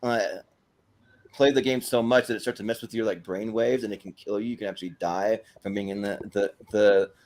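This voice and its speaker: A man's voice: fairly low pitch, steady tone, fairly quick, a little mellow. A man hosting a casual movie chat, rambling along and repeating words as he goes. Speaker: host of a panel show.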